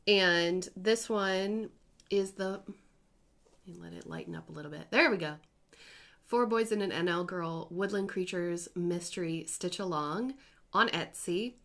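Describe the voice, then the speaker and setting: A woman's voice: lowish voice, a little slow, fairly bright tone. Chatty woman in her thirties. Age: 30s. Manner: chatty and rambling, with self-corrections. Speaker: cross-stitch vlogger. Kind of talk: casual chatty crafting vlog. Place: home.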